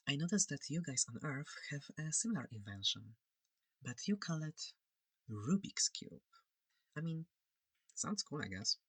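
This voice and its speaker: A person voicing a curious, polite alien scientist in an ASMR roleplay, soft-spoken, hesitant and reassuring, breathy and close to the microphone. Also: medium pitch, big pitch swings, unhurried, long pauses, no room sound, heavily acted. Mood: curious, casual.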